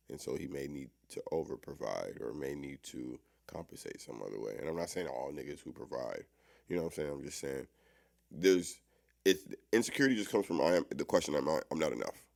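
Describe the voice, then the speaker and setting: A man's voice: rumbling low, gravelly. A man debating casually with friends as a podcast panelist, insistently repeating his points for emphasis.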